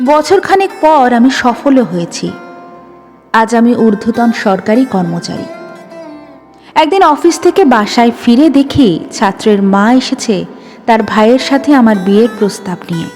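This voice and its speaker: A woman reading a dramatic first-person audio story in an expressive manner. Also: a little high, unhurried. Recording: long reverb with music behind. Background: background music.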